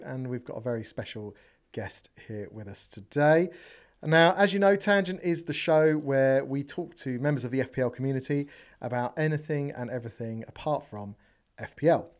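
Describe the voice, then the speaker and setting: A young man hosts a podcast and speaks into a boom mic in a small studio. He is upbeat and jokey. He keeps the chat moving with quick asides. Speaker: podcast host. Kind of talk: casual interview chat. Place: podcast studio with brick wall. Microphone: boom mic on arm.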